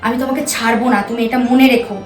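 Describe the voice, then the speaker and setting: An assertive wife in a melodrama short , played by a woman, speaks in a high voice with firm, righteous insistence. The room echoes around her and music runs faintly behind. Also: steady tone, racing, natural voice. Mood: stern, threatening. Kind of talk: short-film melodrama acting. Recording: roomy echo, faint background music.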